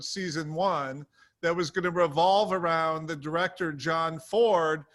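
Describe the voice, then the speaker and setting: A man with a somewhat high, very bright and forward voice talks as a panel guest. He recounts behind-the-scenes writing stories in a casual, anecdotal style. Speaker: television writer and producer, panel guest.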